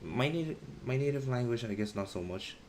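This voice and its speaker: A young man chatting casually on a live stream, pausing often to search for words and using a lot of slang. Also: medium pitch, expressive, darkish tone, a little hiss or hum.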